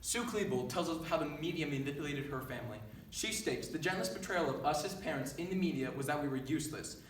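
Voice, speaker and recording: A teenage boy giving a forceful, dramatic recitation in a readers theater performance. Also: a little high, animated, fast, close to an everyday voice. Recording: echoey room, distant mic, faint noise.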